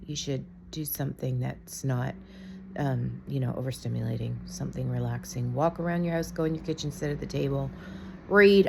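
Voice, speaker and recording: A woman with a raspy voice from being sick, chatting casually to camera in a rambling, opinionated gossip vlog. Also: low voice, warm tone. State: sick, raspy from a cold, coughing. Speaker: vlogger.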